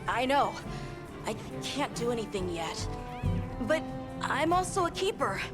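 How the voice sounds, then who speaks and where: A woman's voice: on the high side, big pitch swings, unhurried. A woman voicing an earnest, hesitant young apprentice hunter in a video game cutscene. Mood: earnest pleading. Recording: loud music behind the voice.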